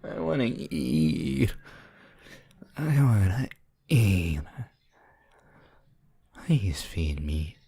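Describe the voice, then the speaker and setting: A woman voicing an obsessive, hungry and playful yandere vampire in an ASMR roleplay, drawn out, theatrical and breathy. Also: big pitch swings, warm tone, exaggerated voice. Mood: hungry, craving. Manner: theatrical, drawn-out, swinging between breathy whispers and high squeals.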